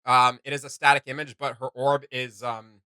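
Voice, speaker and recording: Bright-voiced man, enthusiastic reaction host. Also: medium pitch, level tone, moderate pace. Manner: enthusiastic, teasing, slangy. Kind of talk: casual chat, fan reaction commentary.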